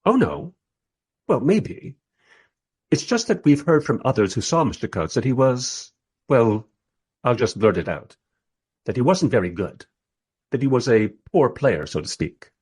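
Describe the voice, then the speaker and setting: Male actor as wry documentary maker, husky, animated, expressive first-person storyteller, close dry sound, radio drama. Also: medium pitch, a little slow, pauses often, no room sound. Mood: hesitant, wry. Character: wry, enthusiastic documentary maker narrating his historical encounters. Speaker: narrator.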